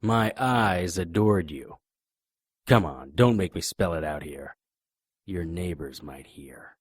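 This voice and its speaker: An actor playing a deadpan, hardboiled private investigator, narrating and speaking in a noir audio drama. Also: male voice, low voice, slow, slightly rough, no room sound, studio quality, exaggerated voice. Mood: calm, pressing. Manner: deadpan, wisecracking.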